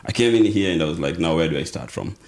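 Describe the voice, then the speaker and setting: Deep-voiced Kenyan man telling his story. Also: animated, fast talker, darkish tone. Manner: storytelling, mixes in Swahili phrases, many restarts. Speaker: guest. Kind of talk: casual interview. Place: small indoor studio with dark curtains. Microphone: desk podcast mic on arm.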